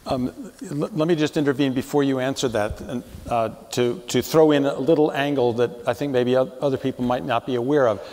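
A man in his seventies moderating a public conversation from the stage in a measured, explanatory way. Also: medium pitch, steady tone, a little mellow. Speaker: moderator, professor emeritus. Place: theatre stage, seated. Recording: reverberant theatre stage.

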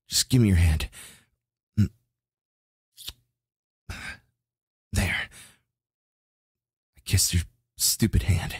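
A man voicing a flustered, brooding but tender young warrior in a romantic roleplay ASMR, husky and halting, close to the microphone. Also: deep voice, no echo, exaggerated voice. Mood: flustered, grudging. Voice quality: husky, breathy. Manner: halting, stammering, sighing.

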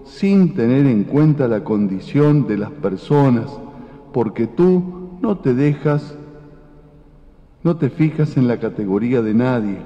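Older priest in an echoing church. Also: male voice, mid-range voice, mellow. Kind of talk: Gospel reading and homily. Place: large brick church. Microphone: lectern gooseneck microphone. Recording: echoey church hall, dull sound.

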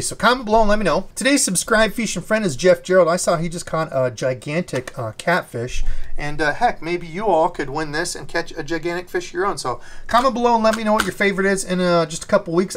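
A man's voice: a little high, fairly bright tone, slight background noise. A young man hosting his fishing channel, chatting with enthusiasm as he unboxes and shows lures indoors. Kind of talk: casual unboxing chat.

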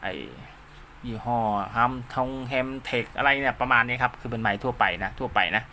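Male DIY tutorial host: bright mid-pitched voice, informal and repetitive, throws in spoken sound effects, slightly noisy recording. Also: fairly even intonation, fairly quick. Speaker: host of a DIY radio repair channel. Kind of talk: informal hands-on tutorial, talking while drawing diagrams.

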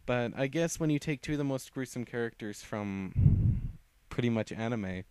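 A young man reads his homemade fan fiction aloud, stumbling and restarting on words as he goes. His voice is clear and smooth, at an ordinary middle pitch. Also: lively intonation, mellow, no room sound, poor audio. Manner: halting reading with frequent restarts. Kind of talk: reading his own fan story aloud.

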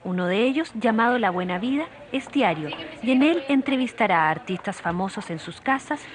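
A woman giving a measured, polished, scripted voice-over for a television news feature. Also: a little high, animated, background noise. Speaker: TV reporter narrator. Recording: voice-over with music bed.

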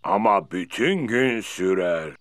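A man's voice: low-pitched, lively intonation, takes it slowly, dry recording, clean studio sound. A man voicing a helpful old grandfather dog who works as a mechanic in a children's cartoon. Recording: clear effects noise behind.

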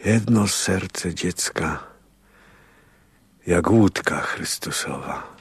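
A fervent, gravelly, very deep priest. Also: male voice, drawn-out words, dry recording, heavily acted. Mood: wistful, reproachful. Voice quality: husky, gravelly. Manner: solemn, pleading, hushed at times. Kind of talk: radio drama acting.

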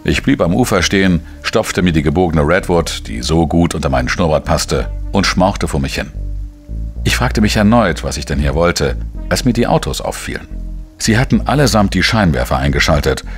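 An actor playing a wry, world-weary journalist who narrates a radio crime drama in a measured, dry manner. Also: male voice, low voice, animated, faint music behind. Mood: wry, reflective. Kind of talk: scripted first-person crime narration.

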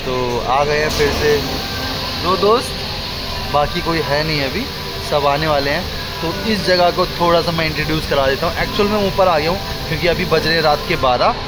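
A man's voice: on the high side, very noisy. A man, talking casually and directly to viewers as he hosts a vlog on a phone held at arm's length. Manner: chatty, informal, addressing viewers directly. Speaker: vlogger, host. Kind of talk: casual vlog narration mixing Hindi and English. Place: rooftop lounge, open-air and semi-covered seating at night.

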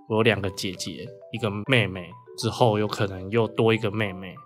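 A man from Taiwan with a Taiwanese Mandarin accent, talking casually as a guest novelist in a radio interview. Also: lowish voice. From Kaohsiung, Taiwan. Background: background music.

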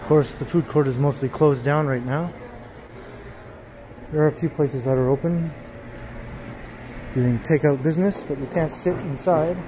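Man, walking tour vlogger, somewhat high voice, unhurried casual commentary, noisy mall ambience, handheld camera mic. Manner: relaxed, unhurried commentary with pauses. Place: large indoor shopping mall, walking. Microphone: handheld gimbal camera.

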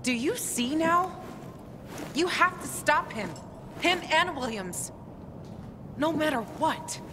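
A woman's voice: lively intonation, slow pace. An actress playing a tough, loyal young woman fighter in a video game, blunt and sardonic in manner. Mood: urgent, determined. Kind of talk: dramatic video game acting. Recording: reverberant space with noisy ambient rumble.

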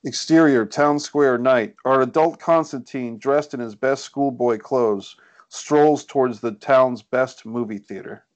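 A man's voice: mid-range voice, unhurried. A man reading scripted stage directions as narrator of a screenplay table read. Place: indoors on a group video call.

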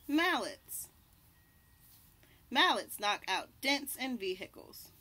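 A young adult woman reading a picture book aloud to children, deliberately, pausing to point out and label the pictures. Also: fairly high pitch, very animated, sharp tone. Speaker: children's read-aloud host. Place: outdoors on a house porch by trees. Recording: birdsong and light outdoor background noise. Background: birds chirping.

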